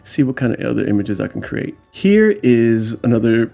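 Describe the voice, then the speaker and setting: A man's voice: medium pitch, big pitch swings, moderate pace, vocal fry, mellow, faint music behind. A man hosting his own vlog, talking through his street photos in a casual, explanatory voiceover with frequent fillers. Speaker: street photographer and channel host.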